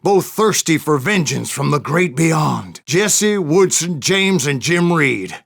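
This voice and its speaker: A male actor playing a grizzled old Wild West bounty hunter in a video game, with a gravelly American voice and a folksy, wry way of telling his tales. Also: a little high, expressive, slow pace, a bit bright, full character voice. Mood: dramatic. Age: older. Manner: folksy, wry storytelling drawl. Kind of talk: dramatic first-person game narration.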